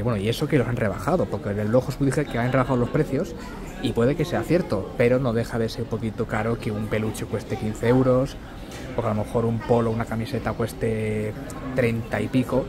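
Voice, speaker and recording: A man from talking casually to his viewers as he walks and films through a theme park, full of fillers and asides. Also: medium pitch. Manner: chatty, filler-heavy, addresses viewers directly. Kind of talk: casual walking-tour vlog. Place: outdoors in a theme park, then inside a shop.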